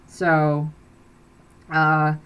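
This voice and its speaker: A woman's voice: sits low, monotone, very slow, clear, resonant tone, muffled recording. A young woman from South Korea, a native Korean speaker, chatting casually on a live stream from her bedroom, rambling with many fillers and restarts. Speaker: livestream host. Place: bedroom with blackout curtain.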